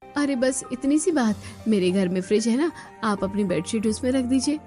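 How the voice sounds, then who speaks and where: A woman's voice: on the high side, expressive, moderate pace, mellow, soft background music, natural voice. A woman playing a helpful, concerned neighbour with a reassuring manner in a scripted cartoon.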